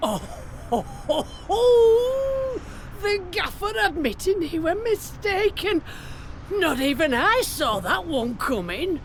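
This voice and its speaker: Cheeky village seer, high lilting voice, Northern English accent, unhurried, theatrical, game dialogue. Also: female voice, big pitch swings, a little hiss or hum, exaggerated voice. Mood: relieved, amused. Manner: theatrical, folksy, blunt. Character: spirited village medicine woman and seer, blunt, cheeky. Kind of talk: video game voice acting.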